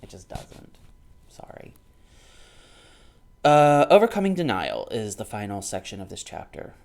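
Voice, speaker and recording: A man's voice: lowish voice, sing-song, unhurried, vocal fry, a little mellow. A man in his thirties giving casual commentary on a book, argumentative and emphatic, repeating himself to drive points home. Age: 30s. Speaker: commentator reading a book aloud and responding. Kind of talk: casual reaction commentary, alternating reading aloud and off-the-cuff response.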